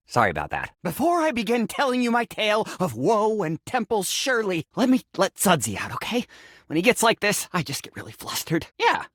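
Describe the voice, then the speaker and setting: A melodramatic cursed fellow stuck as a household sink for a video game. The voice leaps wildly up and down as he rambles theatrically, flustered yet absurdly deadpan. It is recorded very dry, with no room around it. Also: high voice, no echo, exaggerated voice. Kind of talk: video game character voice acting.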